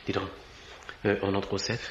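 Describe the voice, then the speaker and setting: An actor playing a polite but excitable, exclamatory brother in a radio mystery. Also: male voice, deep voice, moderate pace, close to an everyday voice. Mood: gossipy, shocked. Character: polite, excitable brother collecting money for flowers. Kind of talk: French radio mystery play acting. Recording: muffled, noisy, echoey radio recording.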